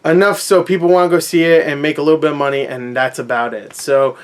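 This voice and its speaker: High-voiced man on a casual rant. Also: fairly even intonation, fast. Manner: sarcastic, sweary, conversational. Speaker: movie review vlogger.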